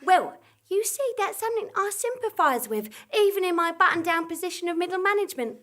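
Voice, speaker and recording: A very high, sharp-edged voice acted by a woman plays a sympathetic middle manager in a fantasy comedy. The delivery is chatty and theatrical, with a hesitant streak, as in a live stage audio drama. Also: expressive, fairly quick.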